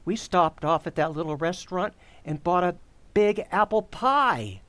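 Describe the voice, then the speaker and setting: A man acting a bumbling, forgetful, excitable husband and father in an old-time radio comedy, with a flustered, stammering delivery. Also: high voice, unhurried, dry recording. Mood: excited, flustered. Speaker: amateur actor.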